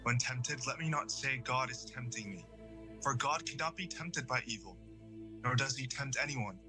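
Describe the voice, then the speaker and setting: A man's voice: mid-range voice, moderate pace, music in the background, lightly acted. A man acting in an audio drama as an earnest, devout teenage boy confessing with solemn, hesitant guilt.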